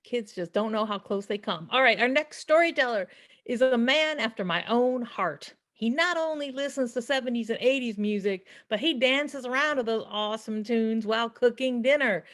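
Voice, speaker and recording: A woman with a slightly higher voice hosts a storytelling show over a video call. She talks in a chatty, conversational way, reacting to stories before introducing the next speaker. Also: normal speed, a bit bright. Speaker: host. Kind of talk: hosting a storytelling showcase.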